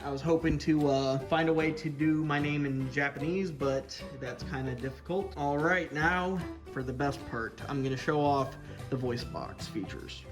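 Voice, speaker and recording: Guy doing a casual toy review, kinda high voice, opinionated and rambly, bit of music behind him. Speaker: toy collector reviewer hosting an unboxing video. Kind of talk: casual hands-on product review. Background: background music.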